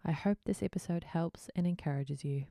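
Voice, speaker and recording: Low-voiced New woman podcast host. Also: fairly quick, gravelly, noisy background. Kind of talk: podcast introduction and conversational interviewing.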